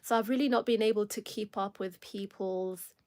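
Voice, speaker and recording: Kenyan-accented woman host, casual rambling livestream talk, quick laughs and restarts, mid pitch, a touch tired. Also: fairly even intonation, a little mellow. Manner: rambling, self-correcting, laughs often.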